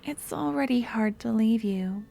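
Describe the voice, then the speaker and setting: A woman playing an obsessive young stalker in an ASMR roleplay, sweet yet menacing, speaking softly and often dropping to a whisper. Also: on the high side, unhurried, vocal fry. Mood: delighted, sweet.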